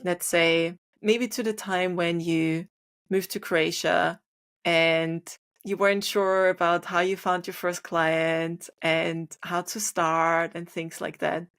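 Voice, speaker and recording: A woman hosting an interview, asking measured questions in an encouraging, chatty way. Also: lowish voice, fairly even intonation, a bit bright. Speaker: podcast host. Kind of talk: casual interview chat.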